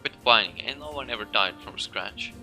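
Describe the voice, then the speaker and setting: A man voicing a fierce, foul-mouthed ancient woman in a storybook reading, gruff and scolding. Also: fairly low pitch, normal speed, pushed and bright, faint music behind, full character voice.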